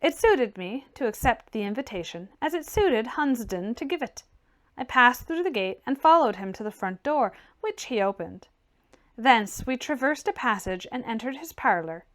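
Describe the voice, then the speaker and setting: A woman's voice: a little high, expressive, normal speed. A woman reading literary fiction aloud as scripted audiobook narration. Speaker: audiobook reader.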